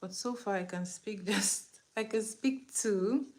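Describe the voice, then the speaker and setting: A woman's voice: mid-range voice, takes it slowly, darkish tone. A young woman with a Nigerian accent and Igbo as her first language, chatting casually through a makeup tutorial and sometimes dropping to a whisper. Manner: chatty, drops to a whisper while applying. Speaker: makeup vlogger.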